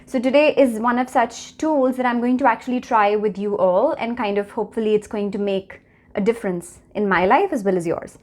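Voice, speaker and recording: A woman's voice: a little high, a little mellow. A woman in her thirties from India with an Indian accent, hosting her own channel and talking earnestly and reflectively to the camera. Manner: earnest, measured, slows to stress key phrases. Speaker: channel host. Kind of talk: reflective personal talk to camera.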